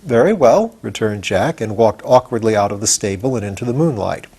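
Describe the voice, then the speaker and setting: A man's voice: fairly low pitch, brisk, a little mellow, husky. An older man narrating a children's storybook as an audiobook reader.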